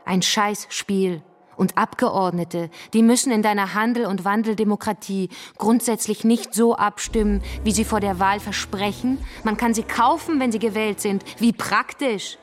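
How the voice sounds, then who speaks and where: A woman's voice: mid-range voice, steady tone, normal speed, close to an everyday voice. An actress playing a fervent revolutionary woman in a German radio drama, arguing in a scornful, didactic way. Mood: scornful, insistent. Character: fervent, combative revolutionary woman defending the workers' councils. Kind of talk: German radio drama dialogue, polemical debate.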